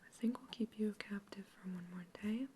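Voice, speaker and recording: A woman whispering in an ASMR roleplay as a polite human researcher questioning a captive alien, gently curious and reassuring. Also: medium pitch, a little fast, a little hiss or hum, lightly acted. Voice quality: soft whisper throughout.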